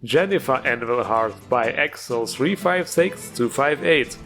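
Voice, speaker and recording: A man's voice: mid-range voice, animated, unhurried, fairly bright tone. A man narrating a jokey, scripted gaming review as host, playful and tongue-in-cheek. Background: music.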